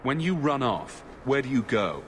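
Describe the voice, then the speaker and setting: An actor playing a resolute, questioning heroic adventurer in a video game. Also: male voice, medium pitch, sing-song, moderate pace, slight background noise, natural voice. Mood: probing.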